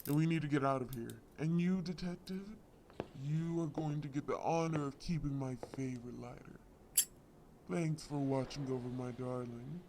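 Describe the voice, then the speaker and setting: A man's voice: mid-range voice, normal speed, a little mellow, a little hiss or hum, natural voice. A man playing a possessive, mock-sweet crime villain in an audio roleplay, taunting with theatrical politeness. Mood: triumphant. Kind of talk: scripted audio roleplay acting.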